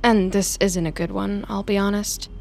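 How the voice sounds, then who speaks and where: A woman's voice: mid-range voice, steady tone, normal speed, gravelly, a little mellow, a little hiss or hum, natural voice. An actress playing a mousy, self-pitying young woman in a confessional audio drama monologue, wry and self-justifying. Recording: music under the voice. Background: music.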